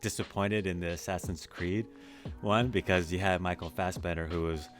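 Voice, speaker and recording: Man, very low voice, animated podcast talk, emphatic, faint music underneath. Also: normal speed. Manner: animated and emphatic when giving opinions. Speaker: podcast co-host. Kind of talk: casual chat.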